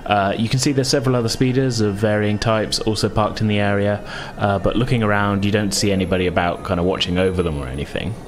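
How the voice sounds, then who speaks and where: A man's voice: lowish voice, steady tone, quick pace, hardly any pauses. A man running a tabletop role-playing game as game master, narrating in a conversational, casual and amused way.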